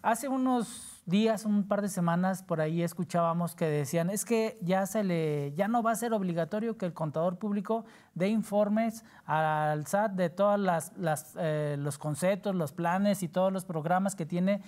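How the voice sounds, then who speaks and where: Low, mellow-voiced Mexican woman in discussion. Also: moderate pace, hardly any pauses. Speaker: talk show panelist on tax matters. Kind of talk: explanatory talk show discussion.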